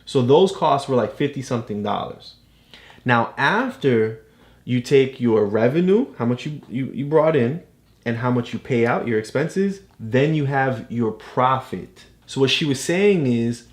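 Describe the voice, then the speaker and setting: A woman's voice: a bass voice, animated, unhurried. A woman casually explaining things to a child as a tutor, encouraging and often checking understanding with questions. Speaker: parent tutor guiding a child's reading.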